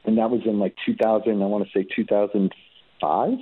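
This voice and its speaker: A man's voice: fairly low pitch, level tone, fairly quick. A man from New York, a guest musician on a remote call, telling his story in casual, rambling interview chat full of restarts. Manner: rambling, many restarts and fillers. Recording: tinny phone-like line.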